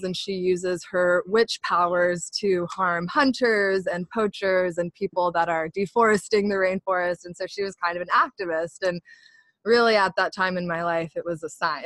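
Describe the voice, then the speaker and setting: A woman's voice: fairly low pitch, a bit bright, dry recording. A woman from California, a podcast guest, telling her story earnestly in a casual interview.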